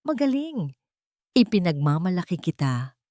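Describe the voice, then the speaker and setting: A woman's voice: lowish voice, sing-song, a little slow, mellow, dry recording. Woman playing a cold, controlling rich matriarch, close and dry. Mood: falsely sweet. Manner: controlling, deceptively sweet turning icy. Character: cold, menacing, falsely sweet rich matriarch.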